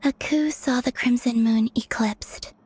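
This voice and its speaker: A learned woman from a fantasy game, as a woman voices her, telling ancient history in a hushed whisper. Her voice sits a little high, with faint ambience behind it. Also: unhurried, a little hiss or hum, natural voice.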